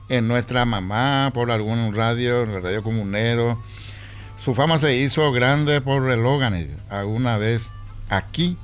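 A mid-pitched male voice chats and reminisces in a loose, chatty way. Music plays faintly beneath him.

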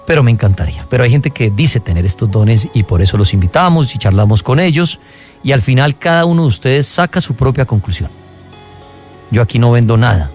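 A male radio host talks in a colloquial, conversational way, peppering his speech with questions. His pitch is average, and soft music runs underneath.

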